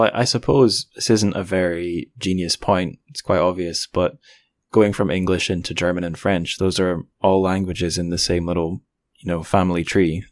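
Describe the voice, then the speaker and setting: A man with a notably low voice hosts a podcast interview. He talks in an informal, conversational way, like casual chat. The recording is dry, with hardly any room sound. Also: no echo. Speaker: podcast host.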